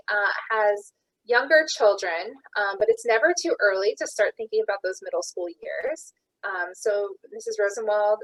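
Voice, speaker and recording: A woman's voice: medium pitch, poor audio. A woman hosting a virtual school open house, thanking and briefly introducing each speaker. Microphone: video call.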